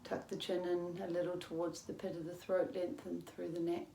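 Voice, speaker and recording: A woman yoga teacher leading a guided relaxation in a calm, measured, soothing manner with long pauses. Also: fairly low pitch, creaky. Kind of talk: guided meditation, yoga nidra instruction. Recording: roomy echo with faint background noise.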